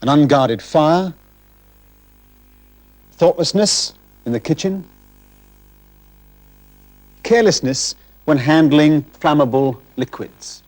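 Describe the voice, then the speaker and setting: Serious, fairly high-pitched male film narrator. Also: darkish tone. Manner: measured, serious, instructive. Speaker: public information film narrator. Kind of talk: scripted instructional narration, 1970s television.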